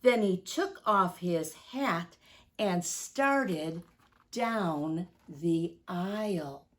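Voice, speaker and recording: Slow, expressive woman. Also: fairly low pitch. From United States, Midwest. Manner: deliberate, expressive, addressing young children. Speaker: children's story-time teacher. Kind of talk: storybook reading and chat for young children.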